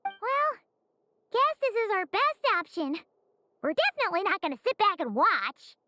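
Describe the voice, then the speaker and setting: A woman voicing a small, chatty, cheeky childlike fairy companion in a video game, with a squeaky voice. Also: sing-song, normal speed, pushed and bright, no room sound, clean studio sound, full character voice. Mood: resolved. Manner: cheeky, refers to self in third person. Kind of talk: animated game dialogue.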